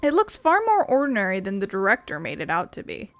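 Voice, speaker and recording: A woman's voice: medium pitch, wildly swooping pitch, quick pace. A woman hosting a casual let's play, reading game text aloud and adding her own comments. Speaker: gaming video host. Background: game music.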